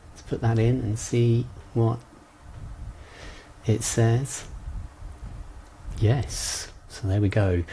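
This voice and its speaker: A man's voice: fairly low pitch, warm tone, slight background noise. A man working as a coach trainer, leading an informal online lesson in a conversational way, often hesitating as he thinks aloud. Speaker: coach trainer leading exam preparation session.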